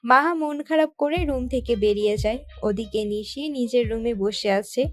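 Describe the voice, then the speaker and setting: A woman's voice: a little high, moderate pace, no echo. A woman reading out scripted story narration as the narrator of an audio tale.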